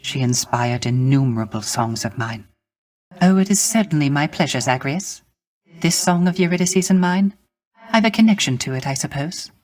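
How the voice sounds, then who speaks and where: A man playing a gentle, melancholy male musician of the underworld in a video game, speaking formally and courteously with wistful, poetic, old-fashioned phrasing. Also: fairly high pitch, lively intonation, moderate pace, close to an everyday voice. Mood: wistful, tender. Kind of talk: scripted video game character acting.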